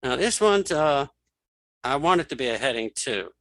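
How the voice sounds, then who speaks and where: A man giving a casual software tutorial, thinking aloud as he demonstrates each step. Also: mid-range voice, sing-song, normal speed, no echo. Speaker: instructor.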